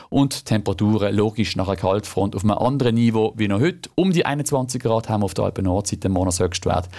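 A man with a Basel Swiss German accent giving a chatty radio weather forecast, relaxed and sometimes stumbling over words. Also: medium pitch.

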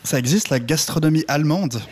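A man playing a deadpan, sceptical cook's sidekick in a radio comedy sketch, talking casually and hesitantly. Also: a little high, fairly quick, natural voice.

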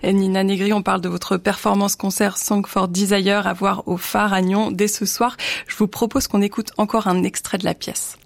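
A woman radio host interviewing a guest and reading the weather, brisk and clear. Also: medium pitch, level tone, no room sound. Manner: brisk, clear presenter delivery. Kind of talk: radio culture segment and news.

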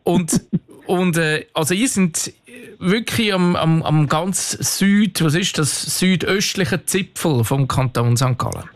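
A man's voice: fairly high pitch, slow. A man with a Swiss German accent chattily hosting a live radio quiz game, with music behind him. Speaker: radio quiz show host.

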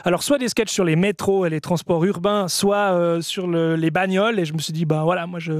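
A man in his thirties with a Swiss French accent, a comedian answering questions in a radio studio with relaxed, self-mocking humour. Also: high-pitched, a little fast, no room sound. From French-speaking Switzerland. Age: 30s. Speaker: comedian guest. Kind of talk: radio interview. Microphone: studio microphone.

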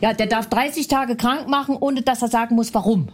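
Brash ranting woman, fairly high voice. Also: steady tone, fairly quick, slight background noise. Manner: brash, ranting, mocking, laughs often. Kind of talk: blunt comedic banter.

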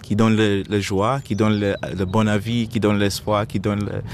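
A man's voice: lowish voice, little pitch movement, brisk, noisy background. A man, a Shona speaker, answering interview questions hesitantly and searching for his words in French. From Zimbabwe. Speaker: musician guest.

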